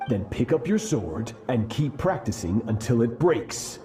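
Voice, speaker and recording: A man voicing a harsh sword master who talks in a slow, grave and menacing way. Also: medium pitch, very animated. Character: stern harsh male sword master, cold and merciless. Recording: echoey game audio over music. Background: game music.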